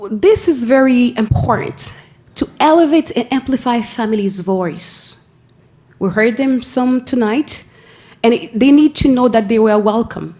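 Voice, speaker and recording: A woman's voice: a little high, a little mellow. Earnest woman with a Haitian accent. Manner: earnest, with frequent pauses and restarts. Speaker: school family engagement staff member. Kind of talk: public presentation at a school board meeting. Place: school theater during a board meeting.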